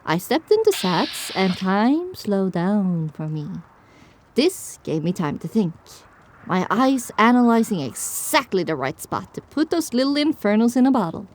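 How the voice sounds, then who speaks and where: A woman's voice: mid-range voice, sing-song, measured pace, a little hiss or hum. A woman narrating an audio drama in the first person with a dramatic, scripted delivery.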